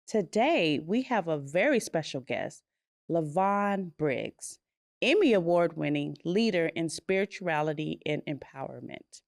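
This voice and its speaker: A woman hosting a podcast interview, her voice sitting low with a gravelly fry. The sound is dry and close, with no room in it. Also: no room sound. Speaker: podcast host and attorney.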